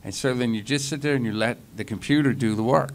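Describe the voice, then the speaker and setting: A man's voice: medium pitch, fast talker, slight background noise. A middle-aged man speaking eagerly at a conference panel table into a mic on a stand. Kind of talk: casual panel talk.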